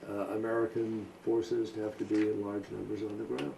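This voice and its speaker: A man official speaking in a news soundbite, halting with many fillers. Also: fairly low pitch, level tone, gravelly. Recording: roomy distant clip with noise.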